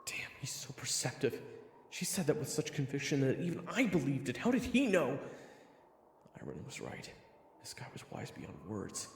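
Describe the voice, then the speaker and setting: A man plays a sardonic, nervous young adventurer narrating a fantasy audio drama. His creaky voice swings dramatically in pitch, wry and theatrical, in a reverberant recording. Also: wildly swooping pitch, moderate pace, slight background noise. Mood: anxious, wry. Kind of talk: first-person fantasy story narration and acting.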